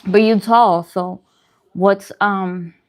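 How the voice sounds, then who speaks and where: A woman's voice: mid-range voice, fairly even intonation, slow, darkish tone. A woman chatting casually and playfully with viewers as the informal host of an eating livestream. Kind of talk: casual chat. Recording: clearly noisy background.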